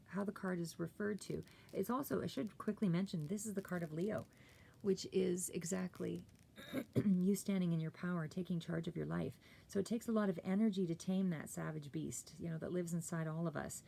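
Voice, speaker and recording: Brief: hoarse woman tarot reader, low gravelly mellow voice, rambling delivery, faint hum behind. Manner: rambling, many fillers and self-corrections. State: hoarse, clearing throat. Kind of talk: casual tarot reading monologue.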